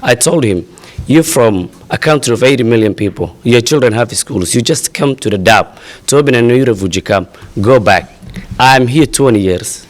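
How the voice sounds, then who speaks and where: A young man from Somalia, a refugee advocate, gives a halting, earnest statement at a press conference, full of pauses and fillers. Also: medium pitch, expressive. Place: outdoor press event.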